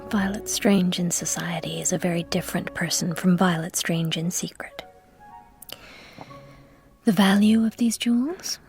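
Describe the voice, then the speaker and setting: An actress playing a poised young socialite with a detective's shrewdness in a radio drama, genteel and self-assured. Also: female voice, lowish voice, unhurried, long pauses, a little mellow, faint music behind. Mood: guarded, shrewd. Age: young adult.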